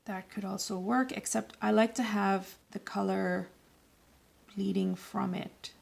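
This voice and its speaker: Slow, gravelly woman teaching watercolour. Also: mid-range voice, steady tone, a little mellow. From Canada. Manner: loose, rambling, self-correcting instruction. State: raspy voice today. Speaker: watercolour tutor. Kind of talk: casual painting tutorial voice-over.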